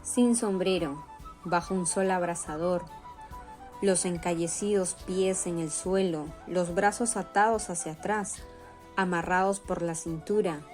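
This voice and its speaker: A woman's voice: lowish voice, a little slow, darkish tone. A woman reading scripted narration for a radio novel. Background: faint music.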